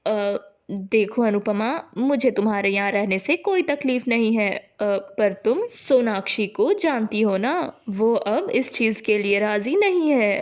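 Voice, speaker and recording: A woman's voice: a little high, expressive, a little slow. Woman playing a hesitant, apologetic brother. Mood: uneasy, apologetic. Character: apologetic, weak-willed adult brother, a worried man. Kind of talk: animated moral story dialogue.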